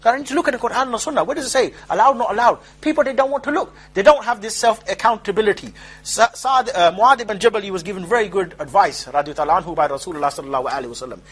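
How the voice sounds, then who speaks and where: A man giving an informal street-style lecture as a preacher, rapid and emphatic with mocking asides. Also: high-pitched, fairly bright tone, slightly rough.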